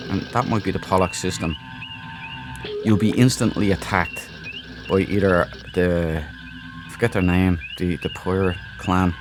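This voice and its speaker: A man giving casual let's play commentary, explaining things in a chatty, informal, rambling way. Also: low voice. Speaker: gaming video host. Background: game music.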